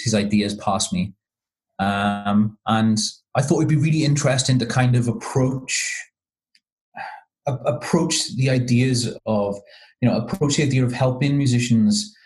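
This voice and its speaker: A man's voice: medium pitch, a little mellow, low-quality recording. A man from Wales, a podcast guest talking casually and hesitantly with many ums and restarts. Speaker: podcast guest, marketing consultant.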